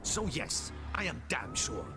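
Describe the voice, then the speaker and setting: A dutiful guard captain. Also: male voice, mid-range voice, sing-song, a little slow. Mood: earnest. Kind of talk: video game cutscene dialogue. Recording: game audio with loud music.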